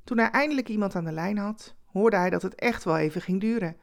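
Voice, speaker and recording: A woman narrating a scripted story expressively, with conversational asides and dramatic pauses. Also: mid-range voice, creaky.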